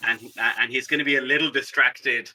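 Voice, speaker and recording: A man playing a tabletop game, chatting casually and haltingly as he thinks aloud. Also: mid-range voice, fairly even intonation, sharp tone, rough recording.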